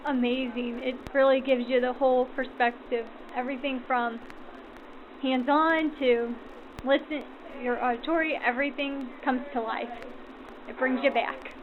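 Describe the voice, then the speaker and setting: A woman's voice: high voice, fairly even intonation, normal speed, a little hiss or hum. An effusive woman, a volunteer crew member, answering interview questions through a vintage radio filter. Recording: tinny radio-like sound, crowd behind.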